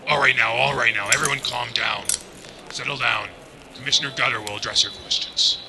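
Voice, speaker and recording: Man playing a gravelly, commanding official. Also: medium pitch, fairly even intonation, natural voice. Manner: brisk, commanding. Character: brisk male official calming a press crowd. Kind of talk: 1940s radio acting. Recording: noisy background.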